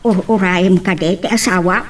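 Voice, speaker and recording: A woman's voice: lowish voice, fairly even intonation, moderate pace, close to an everyday voice. A woman with an Ilocano Filipino accent playing a chatty, caring older mother in a radio drama. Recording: slightly distant mic.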